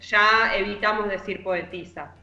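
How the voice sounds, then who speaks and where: A woman's voice: mid-range voice, fairly even intonation, a little slow, bright, forceful tone, dull audio, soft background music. An Argentine woman giving informal literary commentary as a radio columnist, congested, wandering and correcting herself as she goes. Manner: discursive, self-correcting. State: congested with allergy or flu. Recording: roomy echo.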